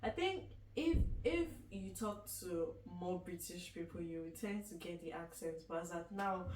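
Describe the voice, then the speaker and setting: A woman's voice: fairly low pitch, normal speed. Young Nigerian woman, casual guest chat, laughs a lot, echoey room, distant mic. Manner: laughs often, chatty and animated. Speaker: guest student. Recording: echoey room from far off, faint noise.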